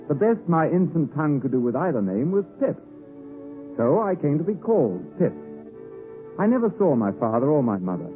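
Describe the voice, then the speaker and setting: An actor playing a literate gentleman recalling his boyhood, in dramatic first-person narration for 1950s radio drama. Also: fairly high pitch. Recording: tinny 1950s radio broadcast with music.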